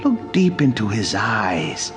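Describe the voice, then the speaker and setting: A man's voice: on the low side, huge pitch swings, slow, music in the background, full character voice. An actor playing a brooding, devoted wax museum curator in a radio drama, theatrical and intense, dwelling on his words. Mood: ominous, impassioned.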